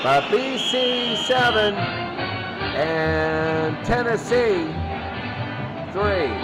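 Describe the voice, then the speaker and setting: A man with a high voice calls a football game play by play. His delivery is slow and he tends to say phrases twice. Music plays clearly behind him. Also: animated, poor audio. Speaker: play-by-play announcer. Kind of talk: amateur football play-by-play commentary.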